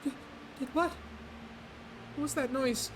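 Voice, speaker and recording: Male reader as a scared child jolted awake: squeaky, panicky, rising questions. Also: measured pace, noisy background. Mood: terrified. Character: frightened little girl woken in bed. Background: music.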